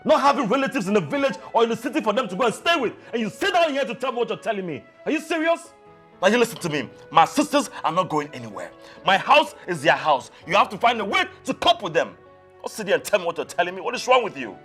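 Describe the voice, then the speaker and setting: A man's voice: sits high, sing-song, fast, fairly bright tone, faint music behind. A man playing an indignant, scolding husband in a screen drama, repeating words in anger. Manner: scolding, stammering repetitions in anger. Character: indignant husband fiercely protective of his sisters. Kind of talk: naturalistic screen drama acting.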